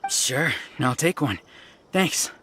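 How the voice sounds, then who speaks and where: A man playing a competitive, stubborn soldier with a husky voice and blunt, dry delivery in a game dub. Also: a little high, expressive, slow, pushed and bright, a little hiss or hum, heavily acted. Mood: approving. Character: stubborn, competitive male soldier, training-obsessed. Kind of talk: English anime game dub acting.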